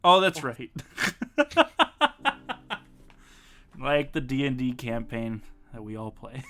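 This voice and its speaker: A man hosting a casual live stream with friends, animated and quick to laugh, sometimes reading haltingly. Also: medium pitch. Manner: animated, laughs often, reads aloud haltingly. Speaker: streamer and host.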